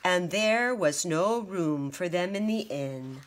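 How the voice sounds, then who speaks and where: A woman's voice: on the low side, animated, slow pace. A woman reading as a man telling a story. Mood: calm.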